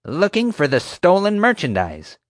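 A man reading an audiobook, voicing a loud, bossy adult woman security guard who barks and growls her commands. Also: high voice, wildly swooping pitch, moderate pace, no room sound, studio quality, pitched above the performer's own voice. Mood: angry, bossy.

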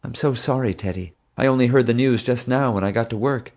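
A man voicing an earnest young woman zookeeper, raising his voice high for her in an audiobook reading. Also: a little fast, darkish tone, lightly acted. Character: young woman zoo keeper, earnest and eager.

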